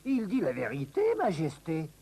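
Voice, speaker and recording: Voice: mid-range voice, sing-song, normal speed. A performer voicing a sly, quirky jester-like servant spying for a queen in a cartoon.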